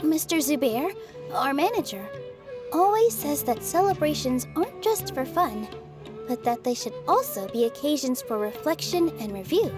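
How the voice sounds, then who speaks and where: A woman voicing a gentle, modest young female dancer in a video game, speaking politely and softly. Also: sits high, sing-song, normal speed, over music. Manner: soft-spoken, polite and self-effacing. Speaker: game character.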